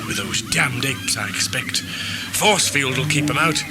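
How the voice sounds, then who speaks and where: An actor playing a grumbling, combative gardener settler in an amateur science-fiction audio drama, muttering complaints. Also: male voice, mid-range voice, measured pace, heavy background noise, close to an everyday voice. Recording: 1980s amateur audio drama, loud background sound.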